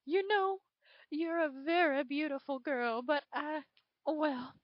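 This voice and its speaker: A woman voicing a gentle male alien hero with a Southern US accent, speaking softly in a hushed way. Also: high-pitched, slow, dry recording, lo-fi sound. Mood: tender.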